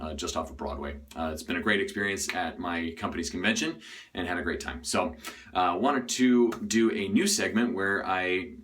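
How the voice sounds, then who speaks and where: Low-voiced guy vlogging from a hotel bathroom, bit raspy, lots of uhs, sounds a bit away from the mic. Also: fairly bright tone, far from the mic. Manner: rambling, with frequent uhs and restarts. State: slightly raspy from days of talking. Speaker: shaving video host. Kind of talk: casual to-camera vlog.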